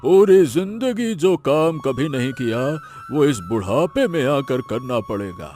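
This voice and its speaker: A man's voice: mid-range voice, lively intonation, a little slow, darkish tone, faint music behind, lightly acted. A man playing a resigned elderly village father in a cartoon story, speaking in a lamenting, fatalistic way. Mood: bitter, resigned. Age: older. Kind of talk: Hindi moral cartoon story dialogue.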